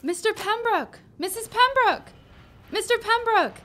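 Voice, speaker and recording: An actress playing an excitable, exuberant young woman in an audio drama, breathless and emphatic. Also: extremely high pitch, measured pace. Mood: excited. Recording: clear background noise.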